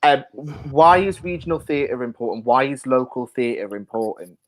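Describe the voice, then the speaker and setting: A man's voice: mid-range voice, lively intonation, moderate pace, poor audio. A man hosting a casual panel interview, putting questions in a hesitant, stop-start way. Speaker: host.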